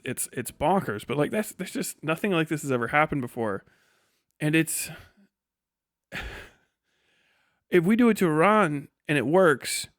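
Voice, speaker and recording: A man giving casual, rambling live commentary as a stream host, slangy and animated, acting out imagined exchanges. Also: medium pitch, clear, resonant tone, no room sound, clean studio sound. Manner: often chuckles mid-sentence. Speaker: livestream host and political commentator.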